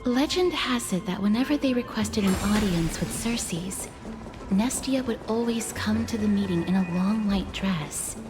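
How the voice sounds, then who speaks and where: Actress as calm scholarly young woman game character, mid voice, formal storyteller delivery, music behind. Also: mid-range voice, measured pace, close to an everyday voice. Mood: calm, reverent. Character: composed, learned young woman recounting ancient legends.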